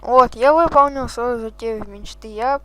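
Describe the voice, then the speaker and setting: A man's voice: extremely high pitch, normal speed, sharp tone, clean tone, dry recording, rough recording. A man hosting a gaming channel, chatting casually and swearing as he reacts to the game. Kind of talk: casual let's-play commentary.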